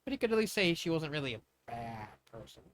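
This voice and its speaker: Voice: medium pitch, sing-song, fast talker, slight background noise. An amateur reader narrating fan fiction dramatically, often stumbling over words and correcting the phrasing along the way. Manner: stumbling, self-correcting delivery.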